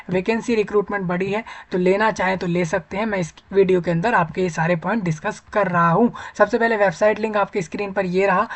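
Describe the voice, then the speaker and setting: A man hosting an informal explainer video, talking viewers through a job notice and repeating himself in long spoken lists. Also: sits high. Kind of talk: informal explainer video, screen-share commentary.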